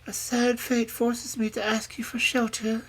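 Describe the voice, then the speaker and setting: A woman's voice: fairly high pitch, moderate pace, a bit bright, pitched above the performer's own voice, rough recording. A woman voicing a meek, sorrowful young princess in a storybook, pleading politely and plaintively. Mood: tearful pleading. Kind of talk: storybook character voice.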